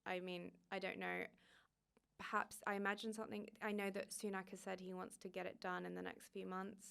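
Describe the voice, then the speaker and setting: Woman, journalist guest, flat even delivery, smooth clear voice, thoughtful with many fillers. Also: mid-range voice, fairly quick. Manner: thoughtful, hedging with fillers and restarts. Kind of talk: podcast interview answers.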